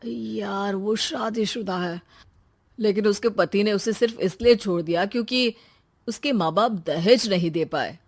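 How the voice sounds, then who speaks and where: A woman voicing a soft-spoken gentle young brother. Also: mid-range voice, a little slow, close to an everyday voice. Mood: shy, low. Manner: soft, hesitant, confiding. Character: earnest young man, gentle trusting brother. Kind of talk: animated storybook voice acting.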